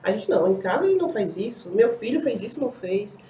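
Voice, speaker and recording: A woman's voice: medium pitch, big pitch swings, quick pace, warm tone, a little hiss or hum. A woman with a Brazilian Portuguese accent, a panel guest explaining her views and asking "sabe?". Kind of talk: panel discussion. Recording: distant mic in echoey room.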